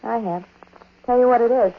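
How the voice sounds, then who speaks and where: A husky, creaky-voiced young-at-heart wife in a 1940s radio play. She sounds uneasy and brooding, with slangy, hesitant phrasing. The old recording is muffled. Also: on the high side, normal speed. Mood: uneasy, explaining. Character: uneasy streetwise wife who dabbles in psychic tricks. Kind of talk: 1940s radio drama acting.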